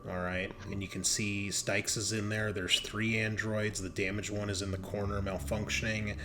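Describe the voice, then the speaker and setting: A man's voice: on the low side, flat delivery, moderate pace. A man narrating a tabletop game as game master, improvising in a casual, conversational way. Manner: conversational, colloquial, with some profanity. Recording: clear background noise.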